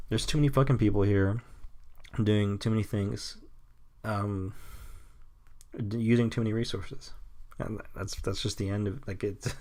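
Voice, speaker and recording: Low-voiced young man rambling blunt opinions. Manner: halting, full of restarts and nervous laughs; blunt and cynical, swears casually. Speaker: podcast host. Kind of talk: rambling solo podcast monologue.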